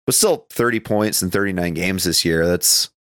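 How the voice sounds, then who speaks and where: Low-voiced man, dry close sound. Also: normal speed, a bit bright, no room sound. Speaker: podcast co-host. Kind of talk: casual sports chat.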